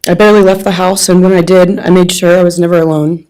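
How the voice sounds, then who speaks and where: Creaky-voiced young woman reading aloud. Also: on the low side, flat delivery, quick pace, a little mellow, low-quality recording. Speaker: friend reading a victim's statement on their behalf. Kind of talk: reading a written personal statement aloud. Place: courtroom.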